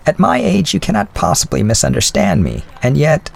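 A man's voice: on the high side, huge pitch swings, a little fast, vocal fry, pitched above the performer's own voice, heavily acted. A man voicing a gracious, wealthy elderly widow who speaks in an earnest, formal and courteous way.

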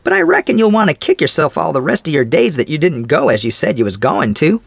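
A man puts on a gossiping village storekeeper from an old storybook, pitched up high and swooping all over. The voice has a gravelly, crackling edge and is loud and eager with news, and the recording is close and dry. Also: lively intonation, fast, dry recording. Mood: gossipy, gloating. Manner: folksy rural grammar, eager to tell news. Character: talkative gloating male village storekeeper. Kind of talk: storybook character voice.

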